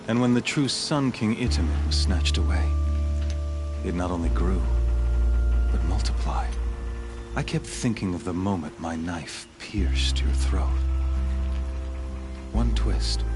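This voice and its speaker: An actor playing a fanatical warrior champion of a sun cult in a video game, a coldly menacing villain who speaks in solemn, grandiose monologue. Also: male voice, sits low. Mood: cold menace.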